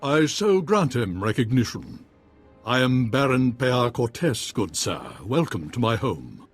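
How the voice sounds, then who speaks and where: A pompous nobleman in a video game. His voice is husky and swings widely in pitch, with grand, courtly phrasing. Music sits behind him. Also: sing-song, unhurried. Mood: gracious, intrigued. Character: pompous, courtly Baron ruling a noble house. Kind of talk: scripted video game drama acting.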